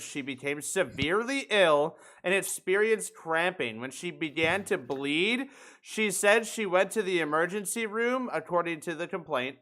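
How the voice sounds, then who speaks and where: A man's voice: fairly high pitch, a bit bright. A man hosting a casual podcast, reading out a news story and commenting on it in an emphatic, indignant, swearing manner. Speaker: podcast host.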